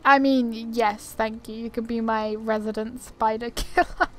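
A woman's voice: on the high side, fairly even intonation, measured pace, slight background noise. A woman giving casual gameplay commentary, easygoing and rambling as she thinks aloud. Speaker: video game player and commentator.

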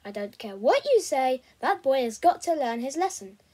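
A woman's voice: sits high, very animated, normal speed, dark tone. A woman voicing a hot-tempered mother who talks in a falsely polite, mocking way. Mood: scolding. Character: sweetly menacing mother with a wild temper.

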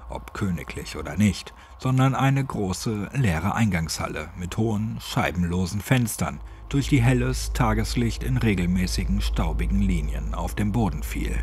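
A man's voice: on the low side, darkish tone, a little hiss or hum. A man reading a scripted horror story as an audiobook narrator. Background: faint music.

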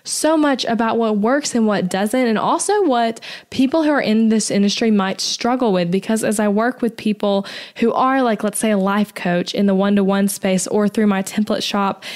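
A woman's voice: mid-range voice, normal speed, darkish tone. A young adult woman hosting her own podcast, talking in an upbeat, chatty way while getting her voice back after being sick. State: recovering voice after illness. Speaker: podcast host, web designer. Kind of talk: solo podcast talk.

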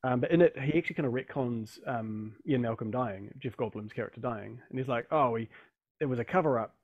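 A man in his thirties talks casually about film on a panel. His voice sits at an ordinary pitch and he rambles, often cutting into his own sentences. The sound is dry and close. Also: fairly quick, dry recording, phone-quality sound. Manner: rambling, self-interrupting. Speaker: podcast panellist. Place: room at home, video call.

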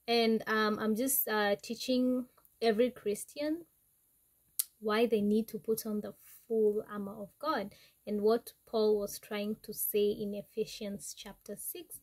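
A young woman with a Kenyan accent giving an informal Bible lesson to camera, chatty and halting, often correcting herself. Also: fairly high pitch, a little mellow. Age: young adult. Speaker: Bible teaching vlogger. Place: small wood-panelled room indoors.

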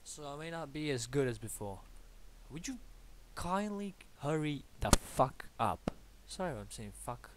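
A man's voice: a little high, lively intonation, unhurried, noisy background. A young man from Bulgaria with a Bulgarian accent, casually commentating his game at home, rambling and swearing playfully at enemies. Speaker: gamer commentating his play. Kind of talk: casual let's-play commentary. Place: at home at a computer. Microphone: awkwardly placed desktop mic.

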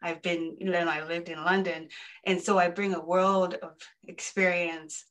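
Earnest woman from Zimbabwe, lowish voice. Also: little pitch movement, normal speed, a bit bright, low-quality recording. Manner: earnest, encouraging, conversational with fillers. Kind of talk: webinar panel discussion. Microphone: video call.

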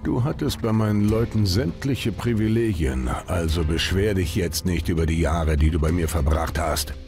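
A man's voice: low voice, normal speed, music in the background. An actor playing a domineering, mocking male gang boss in a video game, sneering and dismissive. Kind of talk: dramatic video game acting.

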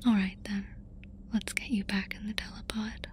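A woman voicing a playful, affectionate alien abductor in a soft, casual, coaxing whisper for an ASMR roleplay. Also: mid-range voice, level tone, normal speed, close to an everyday voice. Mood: soothing, affectionate. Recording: noisy ambience behind close whisper.

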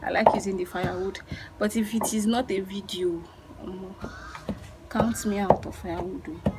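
A young Nigerian woman talks casually to a camera held at arm's length in an open yard, repeating phrases for emphasis. Her voice is of ordinary pitch, with clear background noise from outdoors. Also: fairly even intonation, normal speed. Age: 20s. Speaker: vlogger. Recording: noisy outdoor phone-style recording with clicks.

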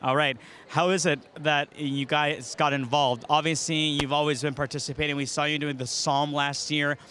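A man's voice: a little high, level tone, moderate pace, noisy background. A man in his thirties, interviewing guests with a handheld mic in a large indoor arena, upbeat and chatty. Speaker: event interviewer. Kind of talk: live event interview.

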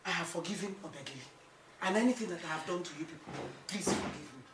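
A woman's voice: medium pitch, lively intonation, measured pace. An actress playing an elderly, fiery, aggrieved mother-in-law in a film melodrama, with a Nigerian Igbo accent and an emphatic, repetitive delivery. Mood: amazed, contrite. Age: older. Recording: roomy, distant mic with loud noise.

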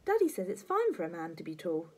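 A woman's voice: fairly low pitch, wildly swooping pitch, fast talker, darkish tone. A woman voicing a timid eight-year-old boy in a children's storybook, pleading and protesting with questions. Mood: earnest, questioning.

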